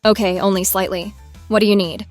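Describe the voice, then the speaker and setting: A woman's voice: medium pitch, fairly even intonation, fairly quick, faint music behind, lightly acted. Woman as a weary, sensible cartoon analyst. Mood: exasperated, dry. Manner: matter-of-fact, exasperated. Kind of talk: animated comedy voice acting.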